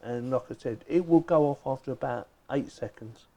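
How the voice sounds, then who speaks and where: A man's voice: mid-range voice, normal speed, gravelly, mellow. A man giving a casual, chatty unboxing and product demonstration, thinking aloud informally as he goes. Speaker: product reviewer.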